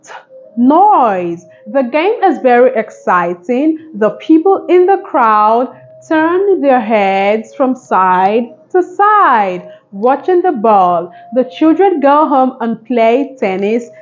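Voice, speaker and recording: A woman's voice: on the high side, sing-song, slow, lo-fi sound, slight background noise. A woman hosting a phonics lesson for young children, speaking in an encouraging, sing-song manner with simple repeated instructions. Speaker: phonics teacher and channel host.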